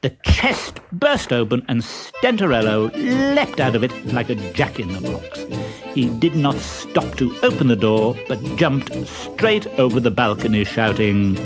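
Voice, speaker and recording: A man's voice: medium pitch, very animated, measured pace, over music. A man narrating a children's story expressively, as a storyteller reading from a script.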